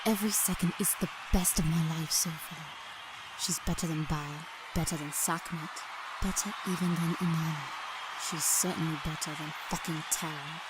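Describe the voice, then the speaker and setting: A woman's voice: deep voice, fairly even intonation, moderate pace, close to an everyday voice, rough recording. A woman playing a starstruck seventeen-year-old girl who narrates earnestly and dramatically in a fan-dubbed comic drama, with music playing. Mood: awed.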